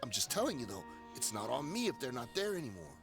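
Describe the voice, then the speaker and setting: An actor playing an evasive, flustered smuggler in a video game, haggling and pleading. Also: male voice, mid-range voice, sing-song, quick pace, gravelly, over music. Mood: wheedling. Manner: wheedling, flustered.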